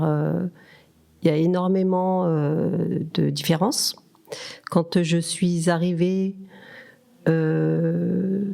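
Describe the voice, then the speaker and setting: Mellow, low, creaky-voiced woman. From Turkey. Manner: hesitant, asks herself questions. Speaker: podcast guest. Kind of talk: reflective personal testimony.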